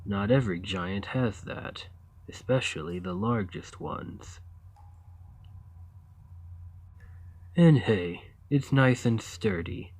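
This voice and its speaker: A man playing a friendly, naive, awkward giant who lives in a cave, in an ASMR roleplay, speaking in a hesitant, earnest, gently upbeat way. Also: on the low side, animated, slow pace. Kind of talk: ASMR roleplay, acted monologue to the listener.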